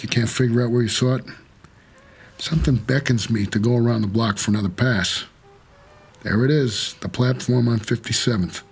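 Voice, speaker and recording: A weary ferryman of the dead in a noir audio drama. He is American, speaking in terse, measured first-person narration with a guarded, brooding manner. The voice sits at a middle pitch and is slightly husky. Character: weary, guarded supernatural driver who ferries the dead.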